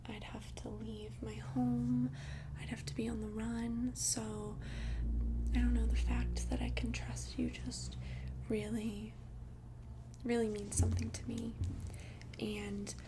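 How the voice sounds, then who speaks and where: A woman whispers an ASMR roleplay as a shy, courteous vampire. Her delivery is breathy, intimate and very slow, with gentle hesitations. A noticeable crackle of vocal fry runs through it, and there is clear noise in the recording. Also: fairly high pitch. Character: shy, polite thousand-year-old female vampire asking someone out.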